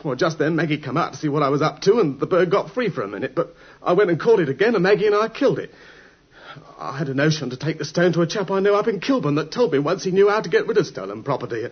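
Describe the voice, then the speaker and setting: Casting: nervous, pleading English thief for old-time radio, high and breathy, grovelling delivery. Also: male voice, animated, fast talker. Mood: nervous confessing. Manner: stammering, deferential, pleading. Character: frightened, pleading petty thief, cringing and servile. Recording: muffled vintage radio broadcast.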